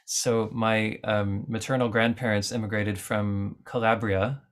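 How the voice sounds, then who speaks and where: A man's voice: on the low side, little pitch movement, a little slow. A man talking as a guest musician in an interview, thoughtful and explaining at length with frequent fillers and restarts. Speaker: lutenist guest. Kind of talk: conversational interview answers.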